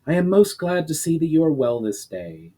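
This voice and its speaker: A man's voice: fairly high pitch, very animated, normal speed, dark tone, voice pushed up. A man voicing a young noblewoman in a fantasy storybook, a dutiful, eager daughter speaking in a formal, courtly way. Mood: beaming.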